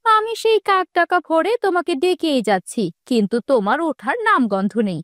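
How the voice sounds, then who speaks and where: A woman's voice: extremely high pitch, sing-song, a little fast, fairly bright tone, no echo, studio quality, full character voice. A woman voicing a practical, mildly scolding village housewife in a fairy-tale cartoon, in a homely manner.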